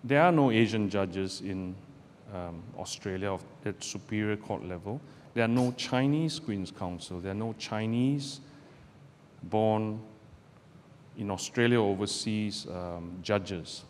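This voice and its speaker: A middle-aged man from Malaysia, a barrister giving a measured, deliberate public talk to students from a podium in a lecture hall. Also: on the low side, darkish tone. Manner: measured, deliberate delivery with pauses. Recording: roomy hall with long reverb, faint background noise.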